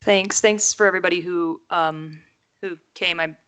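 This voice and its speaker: Woman councilor, low voice, smooth clear tone, kinda halting and thoughtful. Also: fairly even intonation, a bit bright. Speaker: city councilor. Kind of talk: council discussion. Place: council chamber.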